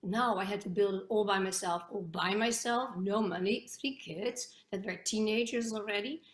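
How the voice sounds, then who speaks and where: A woman's voice: mid-range voice, moderate pace. A middle-aged Dutch woman with a Dutch accent, talking earnestly as a guest on a video call interview, with many restarts and fillers. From Netherlands. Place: home room with bookshelves. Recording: echoey room on a video call.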